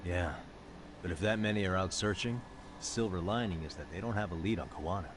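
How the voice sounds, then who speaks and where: Actor as a low-voiced, cool, weary detective. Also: male voice, fairly quick, noisy background, close to an everyday voice. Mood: calm, focused. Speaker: video game protagonist. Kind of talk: English game dub acting. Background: loud game music.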